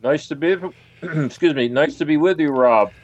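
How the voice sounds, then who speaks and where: An older man from Philadelphia with an American accent, answering as an interview guest in a halting, conversational way. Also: a little high, darkish tone, dry recording, dull audio. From Philadelphia, United States. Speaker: interview guest, author and investigator.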